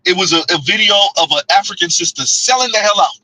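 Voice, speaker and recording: High, bright-voiced man riffing on stream. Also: lively intonation, fast, low-quality recording. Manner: laughs often, talks back to chat. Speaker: livestream host. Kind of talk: casual commentary reading chat.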